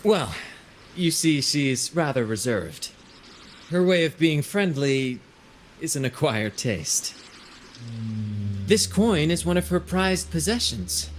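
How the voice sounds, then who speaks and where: A flamboyant sea captain from a video game, genial and grand. His delivery is highly animated and showy, with florid phrasing. Some ambient noise sits behind the voice. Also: slow, pauses often. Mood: genial, amused. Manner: grand, showman-like, flowery phrasing. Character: flamboyant, genial male ship captain and showman. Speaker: video game character voice actor. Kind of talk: theatrical video game dialogue.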